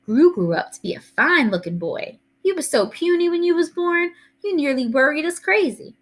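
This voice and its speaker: Woman playing a feisty, high-pitched old Southern granny. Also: expressive, moderate pace. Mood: affectionate. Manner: folksy, emphatic, commanding. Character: feisty, loving old Southern grandmother, small and wrinkly.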